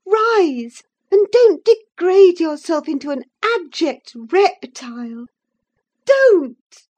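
A woman voicing a proud, quick-tempered young gentlewoman who scolds sharply. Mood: furious scolding. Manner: indignant, scolding, high-pitched.

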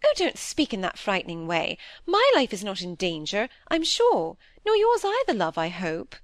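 Woman acting a high, fretful, pouting wife. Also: big pitch swings, fairly quick, no room sound. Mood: nervously playful. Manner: plaintive, pouting, evasive. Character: fretful, wheedling, self-excusing middle-aged wife.

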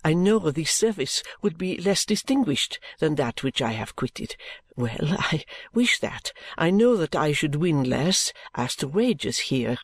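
A narrator playing a fervent, subdued Frenchwoman, low-voiced. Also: big pitch swings, a little slow, slightly rough, dry recording, full character voice. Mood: earnest hope. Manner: earnest, pressing, subdued, courtly. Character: fervent, intense Frenchwoman seeking work as a lady's maid.

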